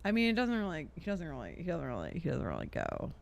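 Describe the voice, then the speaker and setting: A woman giving casual gameplay commentary as a streamer, thinking out loud and often second-guessing herself in a giggly way. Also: low-pitched, wildly swooping pitch, fast, vocal fry. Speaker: game streamer. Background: game music and character voice lines.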